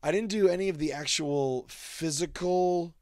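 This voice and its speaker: A man's voice: on the high side, normal speed, a little mellow, a little hiss or hum. A man giving casual, jokey and self-deprecating commentary as a host, rambling as he goes. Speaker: host commenting on wrestling video game cutscenes. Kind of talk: casual commentary with mock wrestling announcing.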